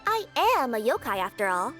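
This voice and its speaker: A woman's voice: on the high side, sing-song, a little fast, over loud music. A woman voicing a polite, earnest young cat spirit courier in a video game. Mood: cheerful, polite.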